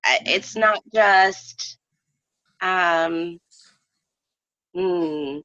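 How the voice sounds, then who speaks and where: A woman's voice: lowish voice, extremely slow, pushed and bright. A woman speaking as an interview guest in a casual, reflective way, hesitant and thoughtful as she searches for words. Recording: slightly dull, echoey room audio.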